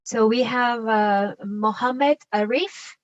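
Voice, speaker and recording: A woman's voice: a little high, slow, dry recording. A woman organizing the session, answering hesitantly and tentatively. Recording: muffled distant audio.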